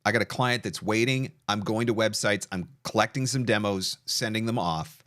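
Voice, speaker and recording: A man with a fairly low voice hosts an online session. He talks casually, putting questions to a guest and adding his own practical commentary. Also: moderate pace, fairly bright tone. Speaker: session host and voice-over coach. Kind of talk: casual interview chat with commentary.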